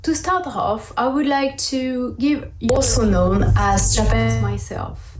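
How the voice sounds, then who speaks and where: A woman in her thirties from the south of France speaks with a French accent in a fairly high voice. She is a guest speaker giving a prepared talk. She presents indoors over a video call. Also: takes it slowly, echoey room, over music. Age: 30s. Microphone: video call microphone.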